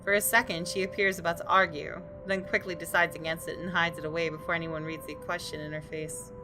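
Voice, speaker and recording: A woman with a slightly low voice narrates a story game aloud. She reads scripted prose expressively, with music clearly audible behind her. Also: low-quality recording. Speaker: gaming video host reading the story.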